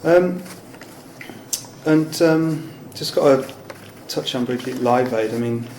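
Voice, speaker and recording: A man's voice: mid-range voice, slow pace, darkish tone. A man asking casual, loosely phrased interview questions in a hesitant way. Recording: echoey room, clear background noise.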